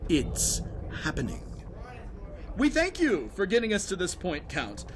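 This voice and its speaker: A man playing a shrewd, coolly ruthless schemer in an audio drama, with a polite, measured and sardonic manner. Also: high voice, wildly swooping pitch, noisy background, exaggerated voice. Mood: coolly warning.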